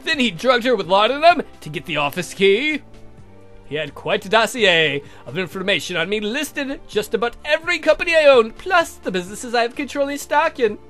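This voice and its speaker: A man voicing a sardonic, theatrical vampire count for a visual novel game, mocking and campy in a drawn-out way. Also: medium pitch, very animated, fairly bright tone, soft background music. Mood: stern, probing.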